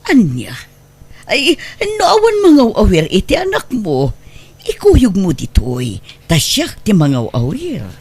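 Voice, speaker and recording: A woman with an Ilocano Filipino accent playing an outspoken but generous madam boss in an audio drama, with a husky voice and a brisk manner. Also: mid-range voice, wildly swooping pitch, darkish tone, dry recording, exaggerated voice. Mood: insistent.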